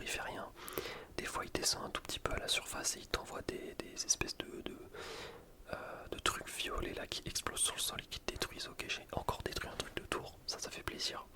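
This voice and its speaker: A man speaks entirely in a whisper, as in an ASMR gaming video. He comments on gameplay in casual slang, rambling from one explanation to the next.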